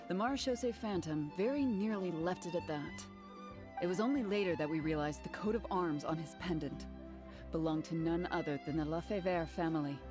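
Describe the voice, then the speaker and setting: A woman's voice: lowish voice, natural voice. Formal, businesslike woman guard officer played by an actress. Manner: formal, articulate, matter-of-fact. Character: earnest, businesslike female city guard officer giving a briefing. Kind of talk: scripted video game voice acting. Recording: game audio with background music. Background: background music.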